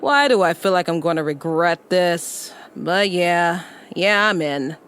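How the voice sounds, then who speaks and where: A woman playing a skeptical, wisecracking hard-luck hacker in an audio drama, with a sardonic, animated delivery. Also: lowish voice, unhurried, clear, resonant tone. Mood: skeptical, wry. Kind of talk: scripted audio drama acting.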